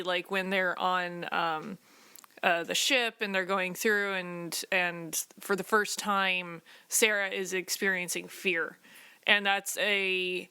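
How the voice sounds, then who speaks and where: A woman's voice: mid-range voice, bright, forceful tone, smooth, no room sound. A woman talking casually as a cast member in a conversational podcast discussion. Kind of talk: casual chat.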